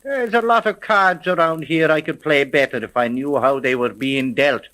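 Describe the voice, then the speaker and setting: An actor playing a gruff, suspicious veteran police sergeant in a 1930s radio serial, blunt and slangy. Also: male voice, fairly high pitch, moderate pace, sharp tone. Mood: suspicious, wary.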